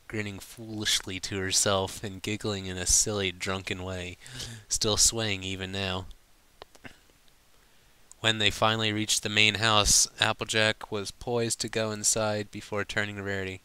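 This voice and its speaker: A man reading fan fiction aloud as a storybook narrator, with some halting stumbles over the lines. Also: fairly low pitch, flat delivery, sharp tone, clear, resonant tone.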